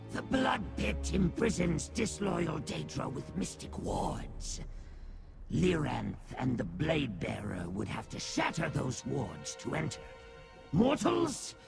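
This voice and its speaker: A man voicing a small cursed demon in a video game, servile yet boastful, with a gravelly croak and theatrical delivery. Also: fairly high pitch, heavily acted. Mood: scornful, cautionary. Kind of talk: video game character acting.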